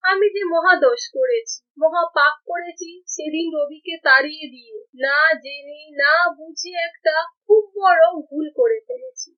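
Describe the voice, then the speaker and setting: A woman's voice: high-pitched, moderate pace, close to an everyday voice. A woman playing a landlord's proud, dramatic wife in a melodramatic cartoon. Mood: remorseful. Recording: muffled, loud music behind.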